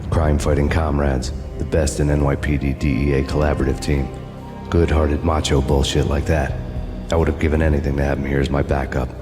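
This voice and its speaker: An actor playing a grim, hard-boiled cop who narrates in a deadpan, world-weary voice-over over game music. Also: male voice, a bass voice, brisk. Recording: game soundtrack music behind.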